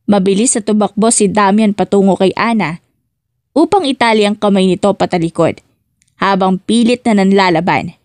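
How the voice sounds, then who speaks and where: A woman's voice: mid-range voice, moderate pace, a little mellow, no room sound, clean studio sound. A woman reading scripted dramatic narration as the narrator of an audiobook love story.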